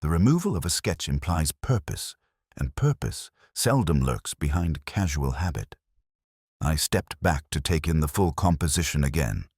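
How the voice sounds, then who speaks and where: A very low-pitched man playing a brooding detective recounts a mystery in measured, literary phrases. His intonation moves freely up and down, and the sound is dry and close. He comes across as melancholy and observant, as in an audio drama. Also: very animated, a little mellow, slightly rough, no room sound, heavily acted. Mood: brooding, reflective. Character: elderly reflective male police detective, melancholy and observant. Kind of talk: first-person scripted mystery narration.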